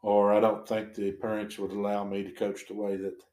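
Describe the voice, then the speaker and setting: A middle-aged man with a Southwest Virginia accent speaks in a lowish voice. He answers plainly and briefly, like a retired coach with few words. The room around him is echoey. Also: monotone, moderate pace, a little mellow. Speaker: retired basketball coach, now school principal. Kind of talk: casual podcast interview.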